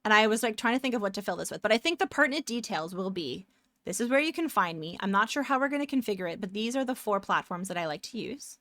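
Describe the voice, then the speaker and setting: A woman's voice: medium pitch, lively intonation, fast talker, fairly bright tone, dry recording. Chatty young Canadian woman streamer. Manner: chatty, rambling, upbeat. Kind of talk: casual chat with viewers.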